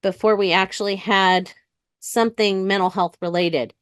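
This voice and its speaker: A woman's voice: medium pitch, steady tone, normal speed, smooth, no room sound, clean studio sound. A woman in her fifties with an American accent, talking candidly and casually as a guest in an interview. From United States. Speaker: interview guest, retired police dispatcher.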